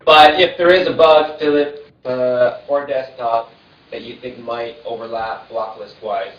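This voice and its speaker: A man's voice: mid-range voice, steady tone, unhurried. A man giving an upbeat, chatty Android update in a casual meeting and laughing now and then. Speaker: Android support lead. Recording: echoey, distant, muffled call audio.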